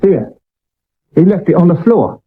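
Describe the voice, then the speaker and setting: An actor playing a devoted, flustered servant of a Victorian actor, deferential and excitable, with a British accent, in a 1970s radio drama. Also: male voice, high voice, measured pace, breathy edge, full character voice. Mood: fussy, eager. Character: devoted, fussy, put-upon male servant to an actor. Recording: dull old medium wave radio recording.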